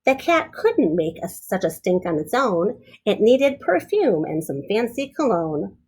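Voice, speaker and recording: A woman reading a children's picture book aloud expressively, like a teacher who pauses to explain things and put questions to young listeners. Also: medium pitch, very animated, darkish tone, a little hiss or hum.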